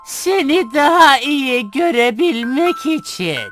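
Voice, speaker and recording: A woman voicing a sly wolf in a children's fairy tale cartoon, coaxing slowly as it poses as a sweet grandmother. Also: sits high, animated, music in the background. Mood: coaxing, menacing. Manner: coaxing, slow, drawn-out delivery.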